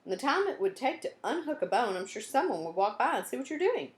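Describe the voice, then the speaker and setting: A woman's voice: a little high, big pitch swings, fast, echoey room, pitched above the performer's own voice. A woman voicing a bold, eager young girl detective in a children's storybook, speaking emphatically. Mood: determined. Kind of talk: children's storybook character dialogue.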